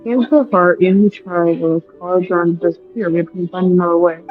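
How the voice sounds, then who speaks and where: A woman streams a game with casual running reactions. Her voice is fairly low, and the sound is slightly dull with faint music behind. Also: fairly even intonation, fairly quick. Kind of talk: casual let's-play chat.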